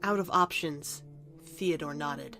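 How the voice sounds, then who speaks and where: Female narrator, lowish register, scripted fairy-tale storytelling for audio drama, light music bed underneath. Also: slow, gravelly, pushed and bright.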